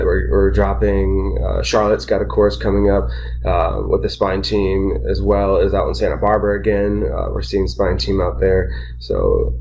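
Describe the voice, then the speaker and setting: Nasal-sounding man, deep voice, relaxed rambling host of a live podcast. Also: a little hiss or hum, low-quality recording. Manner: relaxed, rambling with many fillers. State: nasal from a sinus infection. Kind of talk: casual live educational podcast.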